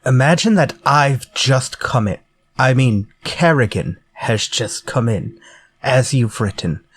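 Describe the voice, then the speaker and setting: An actor playing a menacing, politely theatrical murderer in a retro-style radio drama. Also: male voice, mid-range voice, expressive, slightly rough. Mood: coaxing. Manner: courtly, measured, theatrical. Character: menacing murderer from a story, politely coaxing then vengeful.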